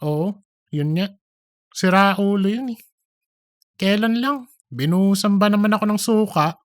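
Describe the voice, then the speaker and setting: A man's voice: high voice, a little slow, no echo, clean studio sound. A man voicing an incredulous, easily amused office buddy with blunt slangy reactions in a comic audiobook. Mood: amused griping. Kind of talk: scripted comic audiobook dialogue.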